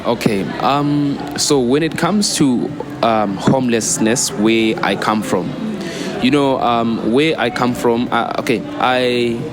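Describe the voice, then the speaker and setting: A man's voice: medium pitch, over music. A South African man talking as a guest in a casual interview, wandering through his points with frequent "you know" and restarts. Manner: rambling, fills pauses with "you know" and restarts. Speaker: guest, student volunteer.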